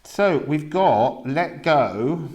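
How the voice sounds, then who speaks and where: A man giving a casual spoken card reading, musing slowly with many pauses. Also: a little high, animated, a little mellow, highs cut off. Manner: slow, musing, drawn-out delivery with many pauses. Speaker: tarot and astrology reader. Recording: echoey room with faint noise.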